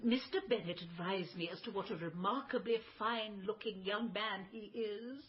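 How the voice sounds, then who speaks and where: A woman's voice: medium pitch, normal speed, muffled recording, close to an everyday voice. An actress playing a gushing, easily overcome genteel lady in a comic period radio drama, breathless and stammering. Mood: coy, fawning. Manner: breathless, fluttery, stammering, effusive.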